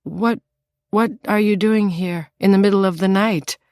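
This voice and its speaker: A woman voicing a timid, exhausted middle-aged man who speaks quietly and hesitantly. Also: medium pitch, steady tone, a little slow, no echo, clean studio sound, voice pushed up, natural voice.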